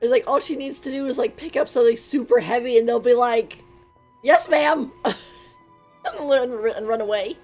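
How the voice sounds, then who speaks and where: Giggly woman streamer, high voice. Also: normal speed, a little mellow, faint music behind. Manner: giggly, playful, mimics characters. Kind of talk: casual game commentary.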